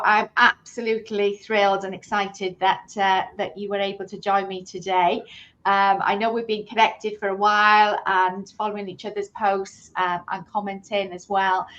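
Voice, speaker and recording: A woman's voice: medium pitch, highs cut off. Chatty British woman hosting a webinar. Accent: British English. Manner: chatty, warm enthusiastic hosting with fillers. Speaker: host.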